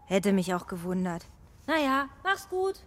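A woman's voice: medium pitch, big pitch swings, unhurried, fairly bright tone, no echo. A woman playing a young fairy pupil in a children's radio play, blunt, kind and full of self-doubt. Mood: grumpy, dismissive. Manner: self-deprecating, matter-of-fact.

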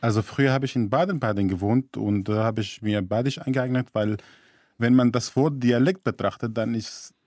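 Mellow-voiced man from Syria. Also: mid-range voice, moderate pace, dry recording, studio quality. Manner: explanatory, careful, with some slips in German grammar. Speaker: guest. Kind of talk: radio interview.

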